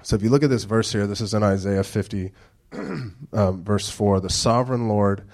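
A man in his thirties, a pastor giving a casual sermon with announcements and dad jokes, talking low, slow and fairly monotone with a stuffed-up nose. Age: 30s. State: stuffed-up nasal congestion.

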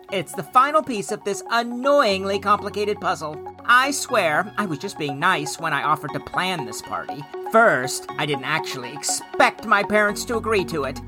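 Woman putting on a flustered, animated thirty-something woman. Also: mid-range voice, lightly acted. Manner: rambling, animated, fussy. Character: chatty, flustered, exasperated woman in her thirties, letter writer. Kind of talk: letter read in character in audio drama.

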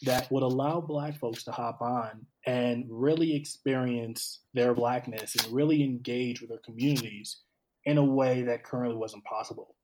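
A man from Cameroon hosting a conversation, talking in a thoughtful, unhurried way and often repeating words as he starts a sentence. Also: medium pitch, fairly even intonation, noisy background. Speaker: podcast host. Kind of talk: casual conversational interview.

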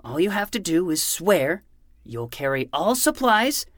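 A woman's voice: fairly low pitch, big pitch swings, unhurried, no room sound, studio quality. A woman playing a self-important 12-year-old boy who acts like a hardboiled private eye in a fiction podcast. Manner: melodramatic, self-important noir narration.

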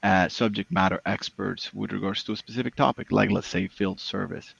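A man's voice: on the low side, fairly even intonation, a little fast, creaky, a bit bright, breathy edge, no echo, dull audio. A man who founded a consulting firm, talking earnestly in an interview and often adding "right?". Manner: earnest, repeats words, tag "right?". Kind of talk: business interview.

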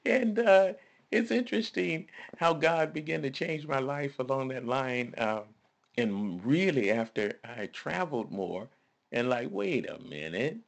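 A man's voice: mid-range voice, lively intonation, measured pace, vocal fry. A man preaching a sermon in a conversational, emphatic way, repeating phrases and calling on listeners to respond.

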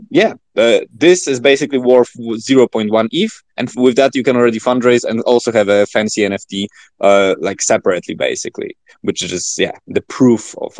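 A man talking as a podcast guest in casual, informal chat, rambling and halting with many filler words. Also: on the low side, no echo. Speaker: podcast guest, project founder.

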